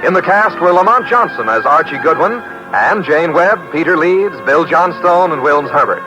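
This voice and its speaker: A man's voice: on the high side, animated, moderate pace, over music. A man reading closing credits and an upbeat network promo in 1940s radio announcing style. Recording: dull lo-fi 1940s radio broadcast.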